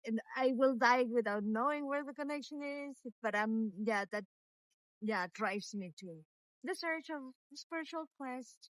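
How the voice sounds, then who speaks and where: A woman's voice: a little high, a little slow, dry recording, low-quality recording. Middle-aged Latin American woman, hesitant speech. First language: not English. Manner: hesitant, many restarts and repeated yeahs. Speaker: meditation group leader, interview guest. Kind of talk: casual conversational interview. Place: outdoors while walking.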